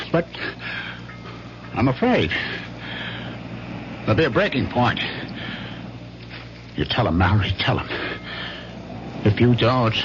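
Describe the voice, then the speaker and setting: An actor playing a brooding, jealous husband on the edge in a radio mystery, halting and intense. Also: male voice, medium pitch. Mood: desperate, threatening. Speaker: actor and narrator of the story in character. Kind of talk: 1970s radio mystery acting. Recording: muffled old radio recording, loud noise.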